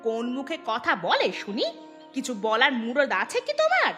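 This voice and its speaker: A woman playing a high-pitched, nagging glutton wife. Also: huge pitch swings, normal speed, bright, forceful tone, soft background music, full character voice. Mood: indignant, scolding. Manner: nagging, melodramatic, indignant. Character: gluttonous, shrill, scolding wife of a poor farmer. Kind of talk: cartoon fairy-tale voice acting.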